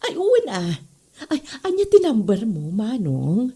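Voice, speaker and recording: A woman playing an easily charmed schoolteacher in a comedy audio drama, coy and expressive, with a Northern Philippine accent. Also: fairly high pitch, a little slow, slightly rough, full character voice. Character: female schoolteacher, stern reputation but easily charmed.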